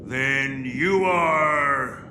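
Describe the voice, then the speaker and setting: A man's voice: mid-range voice, drawn-out words, pushed and bright, reverberant, slight background noise, full character voice. A man voicing a blustering, honor-bound gang enforcer in a video game, emphatic and declamatory. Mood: baffled, protesting. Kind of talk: animated video game voice acting.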